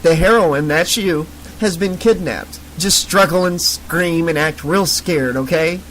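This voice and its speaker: A woman's voice: lowish voice, unhurried, a little hiss or hum, natural voice, poor audio. A man voicing a bossy, brash young woman directing a homemade film.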